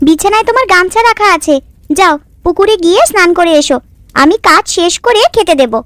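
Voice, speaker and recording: A woman's voice: extremely high pitch, a little fast, a bit bright, no room sound. A woman voicing an affectionate, fussing village woman in a fairy-tale cartoon, coaxing and doting. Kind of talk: Bengali cartoon voice acting. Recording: background music under the voice.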